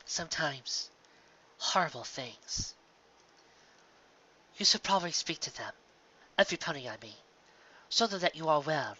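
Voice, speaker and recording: A reader voicing a calm, wise elder pony princess with a grave, measured delivery and few words. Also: mid-range voice, muffled recording. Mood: solemn.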